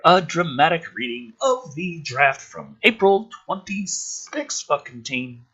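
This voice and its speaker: A man's voice: high voice, bright, forceful tone, husky, lo-fi sound. A man hosting a channel, giving a sarcastic, theatrical script reading with exasperated, foul-mouthed commentary. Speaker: host of a gaming and film channel.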